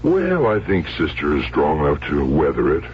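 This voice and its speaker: A man's voice: rumbling low, wildly swooping pitch, normal speed, exaggerated voice. An actor playing a fond, protective middle-aged father with an American accent and a hesitant, placating manner in a 1970s radio drama. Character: fond, protective widowed father of two grown daughters. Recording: muffled, hissy old radio broadcast with hum.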